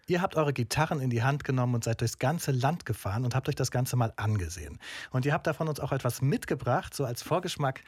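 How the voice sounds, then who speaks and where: Animated, chatty radio presenter. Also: male voice, mid-range voice, fast talker, slightly rough, no echo. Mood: eager. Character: upbeat male radio presenter interviewing a band. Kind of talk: radio interview patter.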